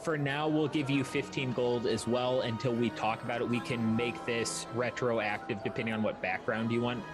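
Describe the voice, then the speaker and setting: A man with a mid-pitched voice acts as game master. He narrates scenes and explains rolls in a casual way, with music clearly playing behind him. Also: fairly even intonation, normal speed.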